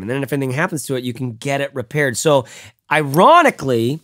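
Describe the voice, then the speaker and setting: A man's voice: medium pitch, fairly bright tone, no echo. A man in his thirties reviewing a bag in a casual, rambling vlog, talking with lots of fillers and the odd swear from a desk in his home studio. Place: home studio room, indoors.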